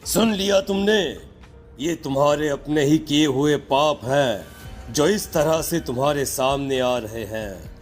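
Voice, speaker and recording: Man's acted holy man, slightly high pitch, solemn, stern, accusing, commanding, horror story drama, light background music. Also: slow pace. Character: stern, accusing male holy man who banishes spirits.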